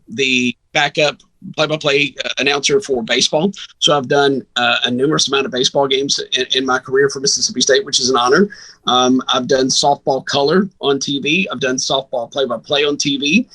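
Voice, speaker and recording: A man's voice: medium pitch, fairly even intonation, moderate pace, sharp tone, rough recording. A man, a radio sports broadcaster answering questions conversationally as an interview guest.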